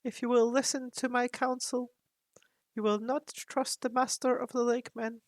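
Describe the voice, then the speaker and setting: A woman voicing an ancient croaking raven chief. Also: fairly high pitch, little pitch movement, no room sound, pitched above the performer's own voice, lightly acted. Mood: solemn warning. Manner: solemn, measured counsel. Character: ancient huge male raven chief, grave and wise.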